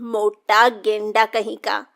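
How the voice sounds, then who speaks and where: A woman's voice: medium pitch, completely flat delivery, slow pace, creaky, fairly bright tone. A woman voicing a vengeful young witch in a children's cartoon story, with a mocking, cackling manner. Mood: gloating, taunting. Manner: taunting, cackling.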